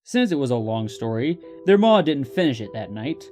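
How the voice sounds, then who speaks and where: A man's voice: mid-range voice, very animated, moderate pace, darkish tone, soft background music. A man narrating a scripted story as the host of a fiction reading.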